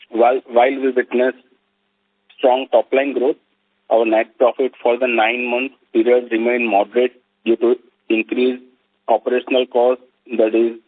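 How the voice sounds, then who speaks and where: A man with an Indian accent formally reading out financial results in short phrases on an earnings call, as a company finance executive. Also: medium pitch. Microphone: telephone conference call. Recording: narrowband, tinny phone line.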